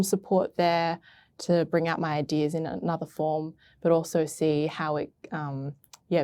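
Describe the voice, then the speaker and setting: Woman intern, low mellow voice, dry sound, casual hesitant interview answers. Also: no room sound. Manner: hesitant, thoughtful, many fillers. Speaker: arts intern.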